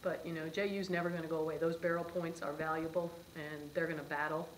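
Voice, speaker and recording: Woman, middle-aged, basketball coach, low voice, rapid, matter-of-fact, post-game press conference, echoey room. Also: level tone, slight background noise. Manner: brisk, matter-of-fact, with frequent "um" and "you know". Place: press room before a sponsor backdrop, seated at a table.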